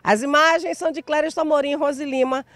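A woman's voice: sits high, fairly even intonation, fast, a bit bright, no room sound. A Brazilian woman in her thirties giving an upbeat live TV field report on a handheld mic in an outdoor square, stretching out key words for emphasis. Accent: Brazilian Portuguese. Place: outdoor public square in sunshine.

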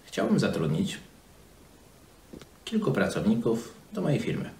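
A man speaks in a low voice that moves up and down in a lively way. He explains things simply, as a storyteller for children, with halts along the way. The room around him echoes clearly. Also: slight background noise. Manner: halting, simply worded, explanatory. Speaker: presenter telling a Bible story to children. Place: small office, seated at desk. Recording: roomy indoor echo.

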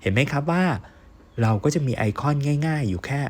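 A man teaching an online course as a step-by-step software tutorial, talking to learners in an encouraging, question-and-answer way. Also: fairly high pitch, animated, a little fast. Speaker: online animation course instructor.

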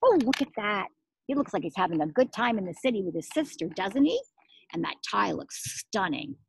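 A middle-aged woman reading a picture book aloud to young children as their teacher, expressive, asking questions and pausing for answers. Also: mid-range voice, creaky, husky. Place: outdoors among trees and shrubs.

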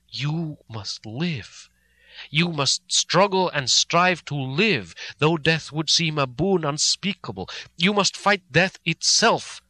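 A man voicing a solemn elderly professor who speaks slowly and heavily, pleading with grave fatherly weight. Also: medium pitch, sharp tone, no room sound. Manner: slow, heavy, deliberate pleading.

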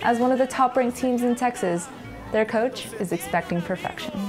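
A woman's voice: on the high side, normal speed, over music. A young adult woman delivering scripted storytelling narration for a documentary.

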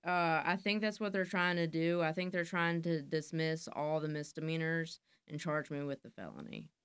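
A woman's voice: sits low, normal speed, a bit bright, clean tone, no room sound. A woman, a guest talking bluntly and matter-of-factly in a candid interview, recorded on a studio mic. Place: podcast studio, indoors. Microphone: studio mic on boom arm.

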